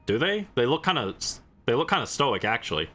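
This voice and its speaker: A man's voice: mid-range voice, big pitch swings, fairly quick, sharp tone, soft background music. A man giving casual, playful, theatrical reactions as he livestreams a game.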